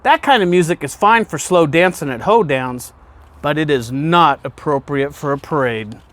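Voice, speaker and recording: Animated, higher-pitched man reading to children. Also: moderate pace. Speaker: guest reader, fire department deputy chief. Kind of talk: children's picture book read aloud.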